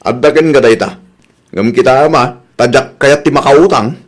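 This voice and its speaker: A man's voice: mid-range voice, normal speed, close to an everyday voice. A man playing a sceptical, grumbling husband in an Ilocano comedy radio drama, speaking with an Ilocano Filipino accent. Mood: doubtful, resigned.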